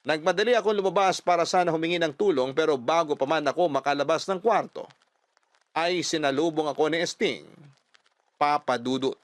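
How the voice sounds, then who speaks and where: A Filipino man tells a scripted story as a radio drama narrator. His voice sits a little high, with dramatic delivery, and the studio sound is dry and close. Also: brisk, no echo.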